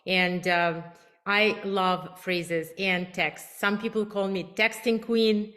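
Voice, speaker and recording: A relationship coach speaks with a clear Russian accent, her voice sitting at a middle pitch for a woman. She talks with eager emphasis, selling her methods directly to the viewer. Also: steady tone, slow, sharp tone. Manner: emphatic, enthusiastic, self-promoting. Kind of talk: direct-to-camera advice talk.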